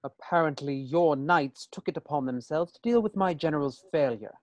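A man's voice: on the high side, normal speed, dull audio, natural voice. A man playing a proud, uneasy military chancellor in a script read, clipped and formal. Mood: defensive, formal. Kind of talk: fan table read of a film script.

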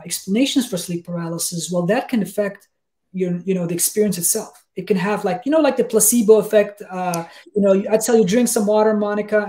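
Mellow-voiced man. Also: high-pitched. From Denmark. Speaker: guest expert, sleep researcher. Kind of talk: conversational explanation in an interview. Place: small room with bookshelves, on a video call.

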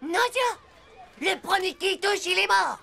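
A woman voicing a hot-headed, slangy schoolboy in a cartoon, with a gravelly voice and indignant, whiny protests. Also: extremely high pitch, lively intonation, a little slow, pushed and bright, exaggerated voice. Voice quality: gravelly, creaky. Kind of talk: animated cartoon dubbing in French.

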